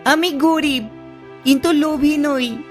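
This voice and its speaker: A woman's voice: sits high, slow, soft background music. A woman voicing a plucky, honest poor village boy in a cartoon family drama. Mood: earnest.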